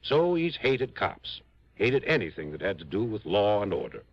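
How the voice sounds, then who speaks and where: An actor playing an earnest, articulate, worried small-town public defender in an old-time radio drama. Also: male voice, fairly low pitch, sing-song, moderate pace. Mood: earnest, sympathetic. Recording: dull vintage radio recording.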